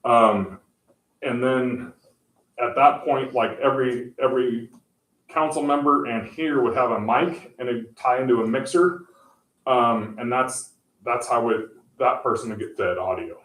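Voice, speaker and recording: A man explaining tech options to a city council, halting and full of fillers and restarts. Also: mid-range voice. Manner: says he has a loud voice. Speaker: tech presenter at council meeting. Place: council meeting room. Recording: echoey room, far from mic.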